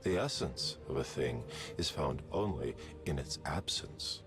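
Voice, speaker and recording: A male actor playing a vain, theatrical masked artist assassin in a video game, speaking with deliberate drama. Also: extremely low pitch, animated. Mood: haughty, wry. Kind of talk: game character voice lines. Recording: game audio with music behind.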